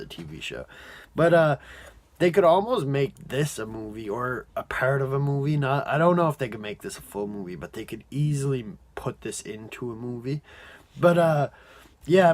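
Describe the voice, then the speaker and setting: A man with a mid-pitched voice chats through an informal review. He rambles in a halting way, leans on filler words and lets thoughts trail away unfinished. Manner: rambling, halting delivery with frequent "like" and trailing sentences. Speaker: amateur episode reviewer. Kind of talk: casual off-the-cuff review chat.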